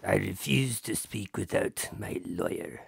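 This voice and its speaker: A man playing a sullen, defiant captive suspect in an audio drama, speaking slowly and formally in a gravelly, husky voice. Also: deep voice, no echo, studio quality, exaggerated voice. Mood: sullen defiance. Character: defiant, sullen Egyptian-costumed male suspect held captive.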